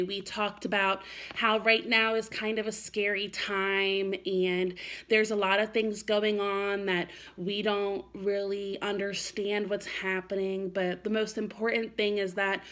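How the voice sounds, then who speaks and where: A woman teaching first grade, with a bright, forward voice at a middling pitch. She speaks directly and reassuringly to small children, as in a read-aloud session. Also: steady tone, a little slow. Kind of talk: read-aloud for young children, chatty address and storybook narration.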